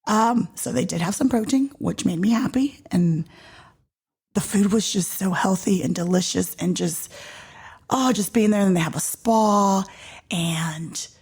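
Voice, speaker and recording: A woman's voice: measured pace, slightly rough. A woman in her forties from Georgia, hosting a podcast and telling a personal story in a chatty, casual way, sometimes dropping to a whisper for effect. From Georgia, USA. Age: 40s. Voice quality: deep, loud voice. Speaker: podcast host.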